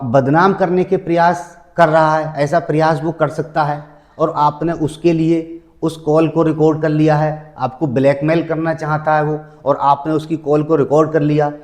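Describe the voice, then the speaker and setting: A man with a slightly high voice gives an explanatory legal talk. He speaks didactically, setting out numbered points and restating key phrases for the viewer. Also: a little mellow. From India. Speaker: legal advice presenter.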